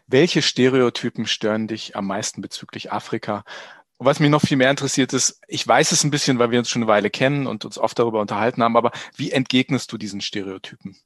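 A man's voice: medium pitch, quick pace, no echo, studio quality. A man hosting an interview, asking friendly, conversational questions that he reads from his notes. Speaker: podcast interviewer.

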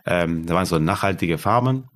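A man, a guest talking about founding his yarn business, halting as he searches for German words. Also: low voice, lively intonation. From Argentina. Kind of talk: casual interview.

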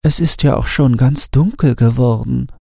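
A man voicing a resigned, sleepy garden lawn that gently complains in a bedtime fairy tale. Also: mid-range voice, normal speed, vocal fry, no echo, clean studio sound, voice pushed up. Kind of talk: bedtime storybook character voice.

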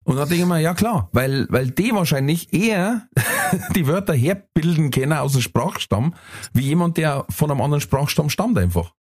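A Bavarian man, a comedian co-hosting a podcast, telling stories in relaxed casual chat. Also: a little high, moderate pace, slightly rough.

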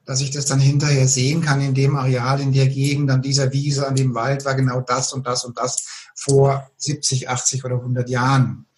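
A man hosting an interview, speaking calmly and affirming his guest. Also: medium pitch, moderate pace, few pauses. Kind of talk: casual interview talk.

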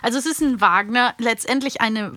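A woman co-hosting a podcast in casual chat, bubbly and quick to laugh as she leads the conversation on a close microphone. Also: on the high side, sharp tone, dry recording. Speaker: podcast co-host.

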